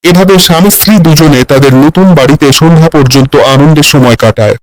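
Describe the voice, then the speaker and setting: A man's voice: a little high, a little fast, no room sound, low-quality recording. A man narrating a cartoon fairy tale in a scripted storytelling style.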